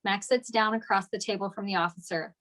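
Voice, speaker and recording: A woman's voice: medium pitch, fairly even intonation, fairly quick, fairly bright tone. A woman giving scripted narration, reading out stage directions at a table read.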